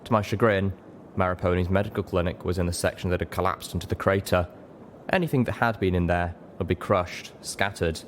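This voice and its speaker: A man's voice: deep voice, slight background noise. A man reading first-person fiction aloud as scripted audiobook narration. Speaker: audiobook reader.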